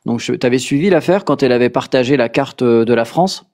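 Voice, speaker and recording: A man's voice: medium pitch, fairly quick, darkish tone. A man hosting a casual political chat, talking hesitantly with many fillers and restarts. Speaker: podcast host.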